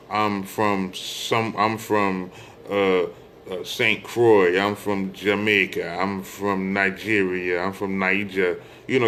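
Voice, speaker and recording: A man's voice: fairly low pitch, fairly bright tone, a little hiss or hum. A middle-aged American man giving an informal religious monologue, speaking emphatically, repeating phrases and posing rhetorical questions. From United States. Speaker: religious speaker addressing online followers.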